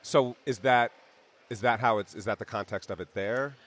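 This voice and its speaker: A man interviewing a guest in a casual, curious way, nudging the talk along with quick "yeah"s. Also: medium pitch, fairly bright tone, highs cut off, slight background noise. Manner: curious, easygoing, short affirmations.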